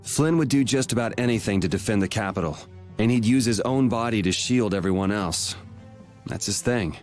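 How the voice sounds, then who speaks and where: An actor voicing a steady young man in a game cutscene, speaking calmly and matter-of-factly. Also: on the low side, normal speed, close to an everyday voice. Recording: game audio with faint music.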